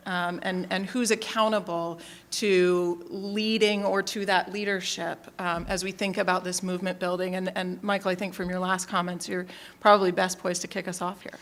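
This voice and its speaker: Woman moderating a panel, halting. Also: on the low side, flat delivery. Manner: halting, many "um"s while framing questions. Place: conference hall.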